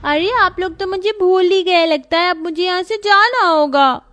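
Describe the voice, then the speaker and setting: A woman's voice: extremely high pitch, normal speed, fairly bright tone. A woman voicing a sweet, caring little girl with a childlike, earnest manner in a moral story. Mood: playful pouting. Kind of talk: animated moral story dialogue.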